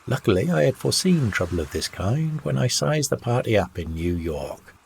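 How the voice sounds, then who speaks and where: A man reading an old sea yarn aloud as a narrator, in an expressive, old-fashioned raconteur's delivery. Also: mid-range voice, normal speed, vocal fry, a little hiss or hum. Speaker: audiobook narrator.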